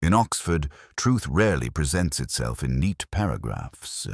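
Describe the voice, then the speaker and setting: A man playing a reflective, sceptical English detective, narrating a mystery story in a measured, literary, brooding manner. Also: rumbling low, big pitch swings, no echo, studio quality, exaggerated voice. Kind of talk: scripted first-person mystery narration.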